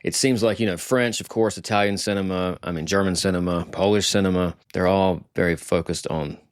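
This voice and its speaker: A low-pitched man hosts a casual podcast interview, rambling and enthusiastic. The recording is dry and close, with no room sound. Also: fairly even intonation, brisk, no echo. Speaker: podcast host.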